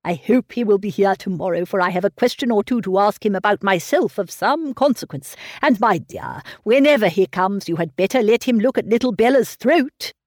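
A woman reading aloud voices a fussy, anxious elderly gentleman fretting over his grown daughter. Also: medium pitch, animated, a little fast, few pauses, no room sound, studio quality, natural voice. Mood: fretful. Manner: fretful, coddling. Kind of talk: audiobook character reading.

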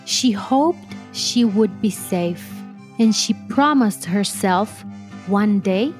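Woman narrator for a life story: unhurried, deliberate read, mid-pitched, faint music underneath. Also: expressive, a little mellow. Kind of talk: scripted children's biography narration.

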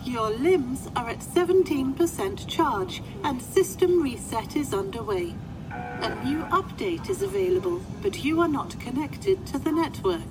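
A woman's voice: high voice, sing-song, measured pace, over music, poor audio. A woman voicing a formal, literal-minded, unflappable medical computer in a science fiction audio drama. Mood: polite, helpful. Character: polite, literal-minded female medical ward computer assistant. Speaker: actor in a fiction podcast. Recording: clear background ambient noise.

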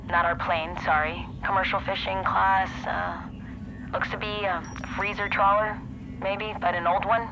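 An actress playing a capable, salty submersible pilot in naturalistic audio fiction, with terse, casual delivery. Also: female voice, medium pitch, level tone, measured pace, creaky, background noise. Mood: awed, uneasy. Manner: terse radio procedure mixed with casual swearing.

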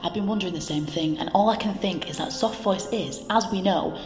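A woman playing a manipulative, flattering inner voice in an audio drama, coaxing and mock-polite, with a reverberant effect. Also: medium pitch, a little fast. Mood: mock agreement. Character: manipulative female inner voice, smooth, flattering, dark and sly. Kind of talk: scripted audio drama acting. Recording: echoey reverberant hall, music behind.

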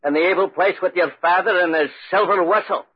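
A man's voice: high voice, fairly quick, slightly rough. A man playing an aggrieved, stubborn country piper who tells his ghostly tale in 1940s radio style. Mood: defiant. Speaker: actor and narrator in radio drama. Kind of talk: 1940s radio acting, first-person storytelling. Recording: roomy, muffled 1940s radio recording.

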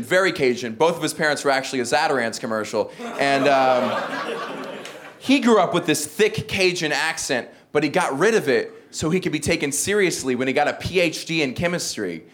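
A young man from the American South with no regional accent, performing a stand-up comedy set with dry, self-mocking asides. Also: on the high side. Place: comedy club stage with a live audience. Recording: live club recording with loud audience laughter.

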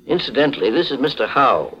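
An actor playing a calm, courteous but stern private investigator, formal and authoritative, in a 1940s radio detective drama. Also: male voice, mid-range voice, brisk. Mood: courteous, composed. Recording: muffled 1940s radio recording.

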